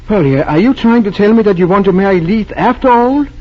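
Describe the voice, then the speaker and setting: Radio play lead: kindly, restrained physician, measured and hesitant, husky tone, dull vintage broadcast sound. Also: male voice, high voice, lively intonation. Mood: stunned, subdued. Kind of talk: 1940s radio drama acting. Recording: muffled old radio broadcast.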